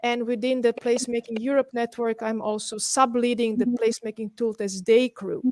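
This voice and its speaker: A middle-aged woman with a Serbian accent presents to an online webinar. Her voice sits a little high, and the sound is very dry with no room around it. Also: little pitch movement, moderate pace, darkish tone, dry recording. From Belgrade, Serbia. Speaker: guest presenter, urban planner. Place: indoors, on an online webinar call.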